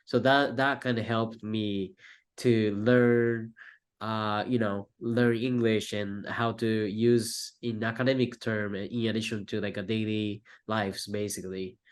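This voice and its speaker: A young man from Japan with a Japanese accent, a native Japanese speaker, answering interview questions in halting English with many fillers and restarts. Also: on the low side, steady tone, clear, resonant tone. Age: young adult. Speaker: guest, film student.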